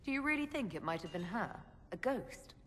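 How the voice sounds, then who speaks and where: A woman's voice: mid-range voice, sing-song, brisk, a little hiss or hum, lightly acted. Woman acting composed, compassionate leader. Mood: questioning, sincere. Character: composed, compassionate female leader of a holy order. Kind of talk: video game dramatic acting.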